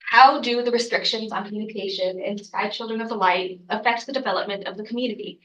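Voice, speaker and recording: A woman's voice: mid-range voice, steady tone, quick pace, fairly bright tone, roomy sound, recorded from a distance, muffled recording. A young adult woman, congested from a cold, giving an earnest student research presentation with frequent ums and uhs. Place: lecture room.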